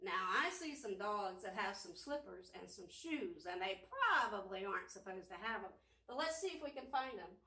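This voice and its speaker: A woman librarian leading an interactive storytime for young children, talking in an encouraging, animated way with sing-song questions. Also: medium pitch, normal speed. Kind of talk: interactive preschool storytime talk. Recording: roomy echo, distant microphone.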